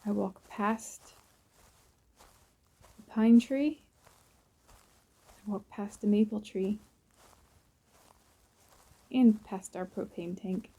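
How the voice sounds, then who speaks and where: Very mellow woman guiding a visualization. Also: medium pitch, slightly rough, poor audio. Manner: soothing, unhurried, short phrases with pauses. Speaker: guided visualization narrator. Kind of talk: guided visualization, first-person present-tense narration.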